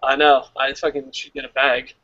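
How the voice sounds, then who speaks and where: A man's voice: medium pitch, normal speed, dry recording, highs cut off. A man hosting a casual wrestling review show, joking and swearing freely as he reads out listener comments. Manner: profane, joking, animated.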